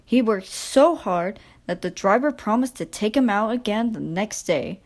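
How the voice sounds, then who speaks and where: A woman's voice: medium pitch, expressive, unhurried, darkish tone. A woman reading a children's story as a scripted storybook narration.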